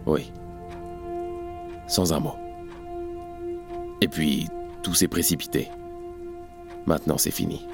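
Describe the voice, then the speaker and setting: Man acting a very deep, somber revolutionary. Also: slow pace, long pauses. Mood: reluctant, grim. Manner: terse, grave. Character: somber, embittered revolutionary man recounting an execution. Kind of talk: audio drama acting in French. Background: music.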